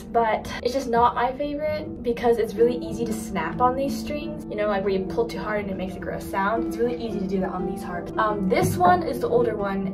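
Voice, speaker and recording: Young woman, congested stuffy voice, bubbly and giggly, high-pitched, phone mic held away, harp strings ringing. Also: recorded from a distance. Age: young adult. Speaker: harp student vlogger. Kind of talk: casual vlog walkthrough. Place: university harp practice room, indoors. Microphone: handheld phone camera, moving around.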